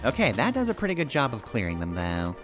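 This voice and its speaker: A man's voice: mid-range voice, huge pitch swings, quick pace. A man giving casual, rambling live commentary while he plays a video game, thinking aloud as he goes. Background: video game music.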